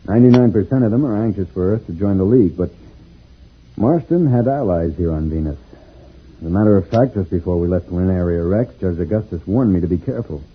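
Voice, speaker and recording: An actor playing a calm, protective space pilot from another planet, reassuring and explanatory in a 1950s radio serial style. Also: male voice, deep voice, brisk. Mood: grave, confiding. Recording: tinny, noisy old radio recording.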